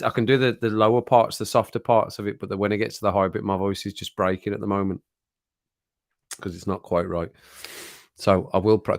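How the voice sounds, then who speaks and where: A man hosting a casual live stream chat, rambling and laughing often, his voice a little croaky after a cold. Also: fairly low pitch, no echo, clean studio sound.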